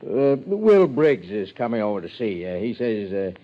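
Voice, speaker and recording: A man's voice: fairly low pitch, big pitch swings, normal speed. A man playing a sheepish small-town sheriff clinging to his dignity in a 1950s radio drama, hesitant and stammering. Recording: dull 1950s radio recording.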